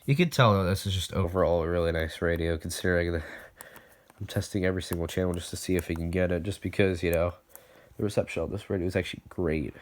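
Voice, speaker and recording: Guy with a pretty deep voice rambling thru a casual radio demo, noisy audio w/ clicks. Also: fairly even intonation, quick pace. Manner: rambling, thinking aloud. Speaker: collector presenting weather radios. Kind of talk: casual product demo vlog.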